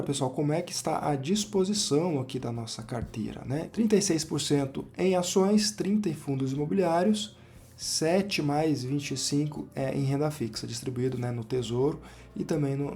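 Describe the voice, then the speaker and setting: A man with a Brazilian accent, hoarse from a cold, giving relaxed, chatty commentary on his investment portfolio while sharing his screen. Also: mid-range voice. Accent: Brazilian Portuguese. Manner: relaxed, chatty, frequent "né" and "pessoal". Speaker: finance channel host.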